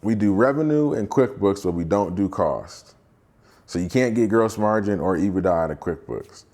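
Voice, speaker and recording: Man acting out a male business seller in an anecdote: breezy, smooth, reassuring salesmanship. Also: fairly low pitch, expressive, moderate pace, a little mellow.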